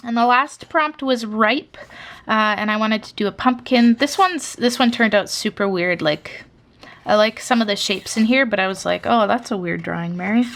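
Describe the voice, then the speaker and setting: A woman's voice: fairly high pitch, normal speed, vocal fry, fairly bright tone. A young woman from Canada chatting casually through a sketchbook flip-through, rambling with lots of fillers. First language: English. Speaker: artist showing her sketchbook.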